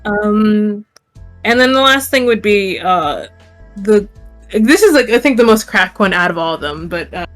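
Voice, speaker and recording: A woman hosting a casual fan discussion, speaking in a hesitant, halting way. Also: mid-range voice, animated, a bit bright, soft background music. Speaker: podcast host.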